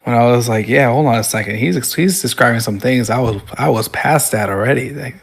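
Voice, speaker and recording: A man's voice: mid-range voice, a little fast, creaky, slightly rough. A man in his early thirties, a podcast co-host, making easygoing dry asides. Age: 30s. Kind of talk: casual chat.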